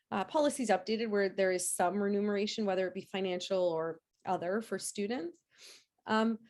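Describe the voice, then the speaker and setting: A woman in a lecture Q&A, her voice hoarse as she gets over a cold. She answers off the cuff at a middling pitch, full of fillers and restarts. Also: darkish tone. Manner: hesitant, many fillers and restarts. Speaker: nursing professor giving a lecture.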